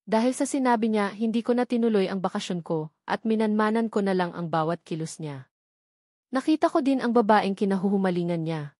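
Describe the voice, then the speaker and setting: A woman's voice: medium pitch, brisk, dark tone, no echo, studio quality. A Filipino woman reading a scripted first-person story as the narrator of an audio novel.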